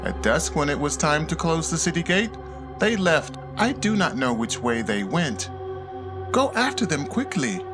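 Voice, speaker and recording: Casting: male narrator as earnest woman of ancient Jericho, pleading, lively swoops in pitch, music underneath. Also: measured pace. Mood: urgent. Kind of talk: dramatized scripture reading.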